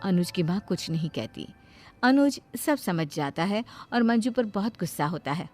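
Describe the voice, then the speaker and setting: A woman's voice: lowish voice, lively intonation, moderate pace, darkish tone. Woman narrating a story over light music. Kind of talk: scripted narration of a cartoon bedtime story.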